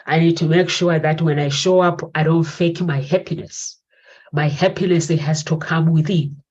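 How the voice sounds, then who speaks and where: A woman's voice: sits low, steady tone, normal speed, darkish tone. A woman in her forties hosting a talk show, giving an earnest, reflective motivational monologue that circles back to repeat her key phrases. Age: 40s. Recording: dull lo-fi recording.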